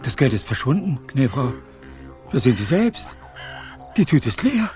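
An actor playing a deferential, hesitant hired detective in a radio crime play, speaking with formal courtesy. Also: male voice, mid-range voice, sing-song, normal speed, a little mellow, faint music behind, lightly acted. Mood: flustered, apologetic. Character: deferential, flustered male hired detective serving a rich lady.

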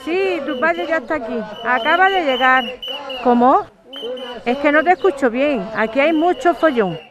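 A high-pitched, anxious middle-aged mother , acted by a woman. She is protective and on edge, speaking in radio drama dialogue from a street protest. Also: lively intonation, normal speed, crowd noise.

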